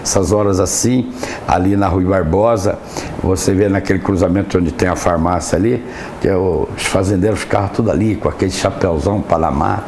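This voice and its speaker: A very deep-voiced man with a São Paulo interior Brazilian accent, telling his life story in an interview. He speaks earnestly and gratefully. The room is echoey, with noise behind him. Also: brisk, darkish tone. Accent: Brazilian Portuguese, São Paulo interior. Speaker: guest, cattle breeder. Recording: echoey studio with background noise.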